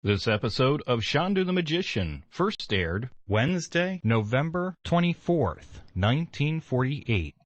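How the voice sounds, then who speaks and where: A man's voice: mid-range voice, big pitch swings, measured pace, hardly any pauses, slight background noise. A man reading scripted station announcements as a radio announcer. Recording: dry but dull, muffled sound.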